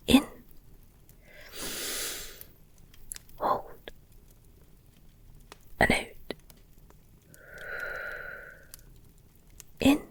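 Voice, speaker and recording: Wanted: woman to play protective, guilt-ridden werewolf girlfriend for audio roleplay. High-pitched, breathy near-whisper, halting, soothing. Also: a bit bright.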